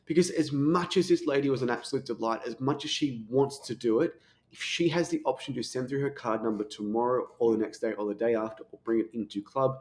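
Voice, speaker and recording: A man giving coaching commentary on sales technique, talking fast with the occasional stutter. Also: mid-range voice, a little mellow.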